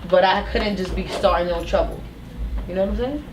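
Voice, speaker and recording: A woman's voice: medium pitch, normal speed, recorded from a distance. A brash woman from Harlem, swearing and restarting her sentences. She sounds set back from the mic in an echoey room, with a faint hum of ambience behind her. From Harlem, New York. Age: 30s. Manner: animated, profane storytelling with many restarts. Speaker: podcast guest. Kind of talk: casual chat.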